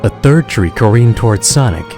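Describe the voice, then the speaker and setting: A man narrating a scripted story in a measured, suspenseful, dramatic style. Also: mid-range voice, lively intonation. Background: loud music.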